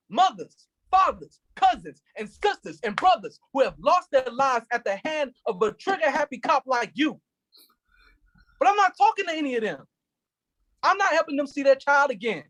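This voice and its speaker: A man's voice: very high-pitched, a bit bright, exaggerated voice, rough recording. A man playing an anguished grieving police officer. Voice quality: intense, impassioned delivery. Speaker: actor in a staged play reading. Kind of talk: staged play reading.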